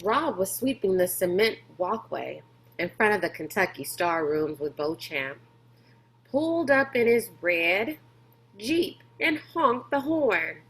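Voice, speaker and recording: Slow, deliberate woman reading a story aloud. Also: mid-range voice, sing-song, a bit bright, a little hiss or hum, low-quality recording. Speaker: teacher reading aloud to pupils. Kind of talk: storybook read-aloud narration.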